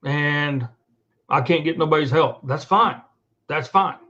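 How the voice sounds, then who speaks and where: A man with a slightly high voice rants off the cuff as host of his own online show. He is blunt and sweary, hammering points home by repeating them. The sound is dull and lo-fi. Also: unhurried, pushed and bright. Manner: blunt, profane, emphatic, repetitive. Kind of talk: unscripted monologue rant to camera. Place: indoors at a computer.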